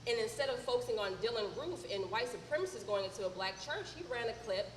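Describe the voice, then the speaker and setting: A woman's voice: fairly high pitch, expressive, fast, slight background noise. A woman arguing insistently as a panelist in a discussion. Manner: insistent, argumentative. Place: event hall panel. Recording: reverberant hall.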